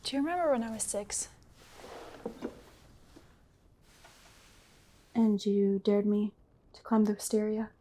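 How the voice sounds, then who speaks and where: A woman's voice: mid-range voice, brisk, dark tone, close to an everyday voice. A woman acting a hurt, sardonic younger sister in naturalistic drama. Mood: wistful. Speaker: actor.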